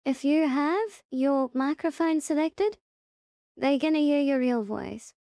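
A woman narrating a casual software tutorial, instructing step by step and repeating her reminders. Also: high voice, slow, dry recording, studio quality. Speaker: tutorial presenter.

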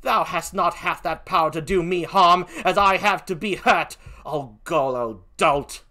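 A performer voicing an outraged, loyal and grieving gentlewoman with a put-on British accent, in theatrical Shakespearean acting. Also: medium pitch, a little slow. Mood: scornful. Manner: declamatory, impassioned, theatrical. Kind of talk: classical Shakespearean stage acting.

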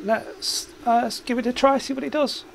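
A man's voice: very high-pitched, fairly even intonation, moderate pace, vocal fry, a little hiss or hum. Guy casually talking through a diy electronics fix in his workshop. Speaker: electronics hobbyist presenter. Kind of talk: casual hands-on repair demonstration. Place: cluttered indoor electronics workshop.

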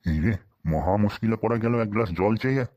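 A man's voice: lowish voice, expressive, fast talker, lo-fi sound. A man voicing a sly, penny-pinching, henpecked husband in a comedy cartoon, speaking a rural western Bengal dialect with folksy, wry asides. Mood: worried, grumbling. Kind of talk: comic cartoon dialogue.

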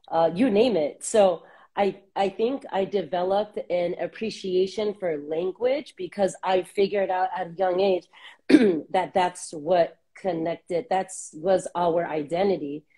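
A woman in her thirties from Southern California, a guest talking reflectively over a video call on earbuds, with many pauses and fillers. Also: lowish voice. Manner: warm, reflective, many ums. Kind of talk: casual interview on a video call. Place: small room indoors.

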